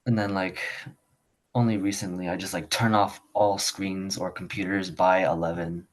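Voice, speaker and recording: A man's voice: low voice, flat delivery, normal speed. A young man, a student whose first language is Khmer, talking casually in a rambling way, full of fillers and restarts. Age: young adult. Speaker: student guest. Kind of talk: casual conversation.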